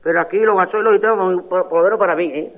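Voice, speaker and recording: A woman's voice: fairly low pitch, rapid-fire. A woman, a flamenco artist talking in a radio interview, chatty and full of fillers and tag questions. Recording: dull old radio tape with long reverb.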